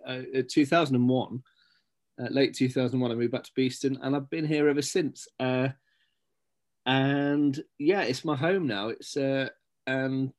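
A man's voice: medium pitch, darkish tone. A middle-aged man, a guest in a casual interview, talking in rambling sentences with many fillers and restarts. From Scotland-born, raised in Stapleford, England. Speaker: guest, local magazine editor.

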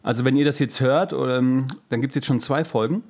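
A man's voice: medium pitch, fairly quick, a little mellow. Guy podcasting, casual chatty talk, drops english slang words here and there. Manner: colloquial, sprinkles in English slang. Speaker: podcast co-host. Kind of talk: casual chat.

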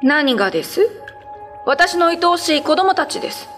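A woman acts out a cat spirit who is a loving, trusting wife and mother. The speech is formal and earnest, in a fairly high voice, with music behind this radio drama. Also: big pitch swings, moderate pace, fairly bright tone. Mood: earnest, defensive. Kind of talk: radio ghost-story drama.

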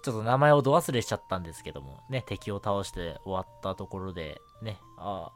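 Animated male radio host. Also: low-pitched, quick pace. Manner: filler-heavy, stumbling over words, self-correcting. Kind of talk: solo radio show segment, reading listener mail and chatting. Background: background music.

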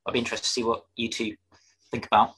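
A man's voice: mid-range voice, completely flat delivery, quick pace, a bit bright, breathy edge. A man speaking as a guest in a casual discussion about film. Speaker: podcast guest.

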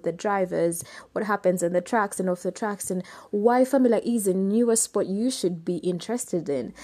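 A young adult woman with a Ugandan accent, hosting a solo podcast and explaining things in an unhurried way with some hesitant fillers. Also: medium pitch, dark tone, dry recording. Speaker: podcast host.

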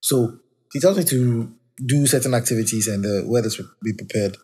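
Chatty husky man musing on faith. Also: a little fast, a little mellow. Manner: rambling, self-correcting, chatty; talks of his high-pitched voice. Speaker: podcast host. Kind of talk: casual faith reflection.

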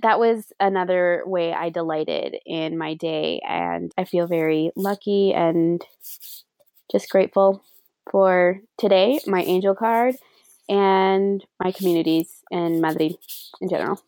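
A young woman co-hosting a casual podcast, chatting in a rambling, animated way with lots of fillers and a few Spanish words mixed in. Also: fairly low pitch, unhurried, no echo. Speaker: podcast co-host. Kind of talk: casual chat. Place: lives in Madrid.

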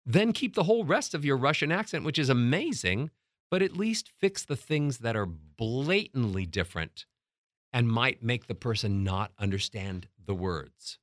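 A middle-aged man teaching as a voice coach, emphatic and demonstrating how to change loudness and pitch. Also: animated, normal speed, no echo, clean studio sound. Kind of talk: motivational teaching talk.

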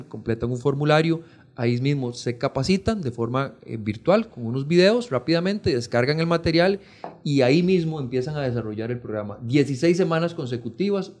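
A Costa Rican man with a medium-pitched voice talks as a podcast guest. He explains in an earnest, conversational way and seeks agreement as he goes. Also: a little mellow. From Costa Rica. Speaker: podcast guest, foundation programme representative.

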